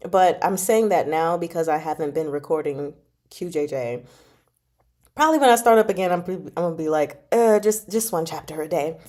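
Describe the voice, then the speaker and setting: A woman's voice: low voice, brisk. Chatty, giggly woman on a livestream. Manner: giggly, animated, chatty, informal. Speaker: host of a book club channel and amateur audiobook narrator. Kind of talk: casual livestream chat, reading out and answering comments.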